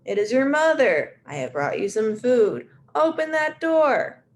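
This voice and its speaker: A woman voicing a wicked, hungry male leopard who tries to sound like a sweet mother, first gruff and then falsely high, in a children's story. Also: big pitch swings, unhurried, slight background noise.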